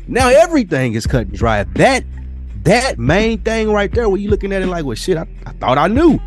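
A man's voice: high-pitched, very animated, normal speed, faint music behind. A man co-hosting a podcast in casual, opinionated chat, speaking emphatically with slang and free swearing. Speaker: podcast co-host.

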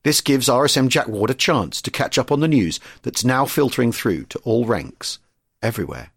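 Measured male narrator, husky tone, dry sound. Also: mid-range voice, lively intonation, dry recording. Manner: measured, informative. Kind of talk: scripted documentary narration.

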